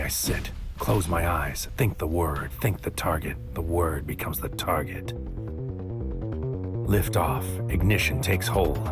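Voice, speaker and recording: Brooding, deep-voiced noir operative. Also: male voice. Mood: clipped, tense. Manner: poetic, clipped, world-weary. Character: brooding, bitter male operative narrating his inner thoughts. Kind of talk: noir-like scripted audio drama narration.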